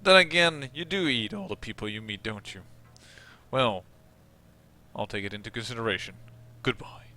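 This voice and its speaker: A man with a Dutch accent reading a video game's dialogue choices as a cool, sardonic male vampire protagonist, in a dry and lowered voice. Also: moderate pace, bright, forceful tone, soft background music, lightly acted.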